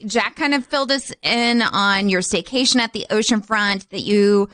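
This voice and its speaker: A woman's voice: medium pitch, moderate pace, fairly bright tone. A woman hosting a radio call-in show, chatting casually with an informal, sympathetic manner.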